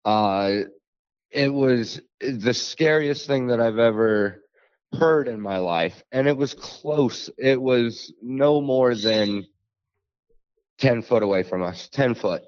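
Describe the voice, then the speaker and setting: Man, podcast guest, deep and slow, halting storyteller; dull, dry lo-fi recording. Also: a little mellow, no echo. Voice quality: deep bassy voice. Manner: halting, many uh fillers and restarts. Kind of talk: storytelling an encounter.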